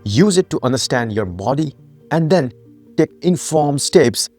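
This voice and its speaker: A man's voice: mid-range voice, expressive, a little slow, darkish tone, soft background music. A man from Nepal, founder and host of a health channel, giving a scripted educational narration with emphatic rhetorical questions.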